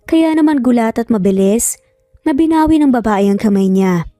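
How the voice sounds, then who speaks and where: A woman's voice: on the high side, lively intonation, normal speed, warm tone, no room sound, studio quality, soft background music. A Filipino woman narrating a scripted romance story.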